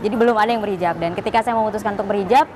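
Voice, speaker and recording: A woman of thirty, speaking thoughtfully in an interview and pausing to find her words. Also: medium pitch, background noise. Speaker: interviewee, magazine editor. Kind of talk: personal testimony interview.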